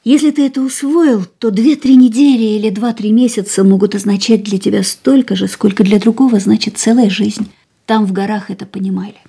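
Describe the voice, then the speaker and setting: A frail, breathy young woman. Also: mid-range voice, fairly even intonation, brisk. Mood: quiet, resolute. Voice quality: breathy, often whispered. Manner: quiet, tired, resolute. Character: frail, gravely ill young woman, stubborn, wistful. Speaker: actor in a radio play. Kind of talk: studio radio drama acting.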